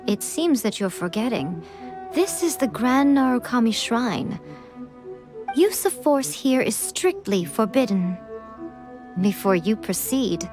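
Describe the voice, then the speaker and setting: A woman playing a sly, teasing, poised shrine priestess in an anime video game, speaking in a coy, deliberate way. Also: fairly high pitch.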